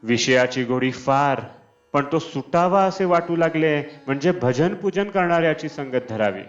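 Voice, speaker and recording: A man reading a scripted spiritual discourse in a measured, deliberate manner. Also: on the high side, lively intonation. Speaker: reader of devotional discourses. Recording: dull lo-fi, Indian classical music behind. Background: Indian classical instrumental music.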